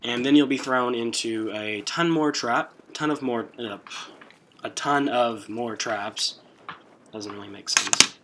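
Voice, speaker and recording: A man giving a casual, somewhat halting walkthrough commentary as the host of a video game guide. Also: mid-range voice, fairly bright tone, slight background noise.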